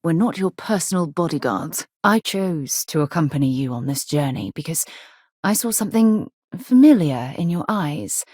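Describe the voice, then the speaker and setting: An actress playing a principled, commanding middle-aged woman explorer and former naval officer in a video game, speaking in a crisp, articulate, earnest way. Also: deep voice, expressive, normal speed, dark tone, no echo, clean studio sound. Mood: composed, earnest. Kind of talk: acted video game companion dialogue.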